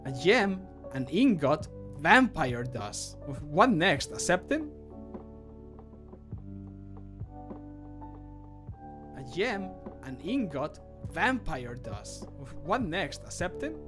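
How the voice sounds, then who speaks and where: A man's voice: a little high, sing-song, takes it slowly, few pauses, music in the background. A man performing game voice lines as a wry, dramatic fantasy adventurer, projected and theatrical with dry asides. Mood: teasing.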